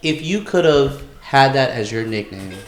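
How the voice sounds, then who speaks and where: A man's voice: medium pitch, lively intonation, normal speed, slight background noise. Casual man bantering on a podcast. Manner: slangy, bantering, peppered with "bro" and "like". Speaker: podcast co-host. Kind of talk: casual joking podcast chat.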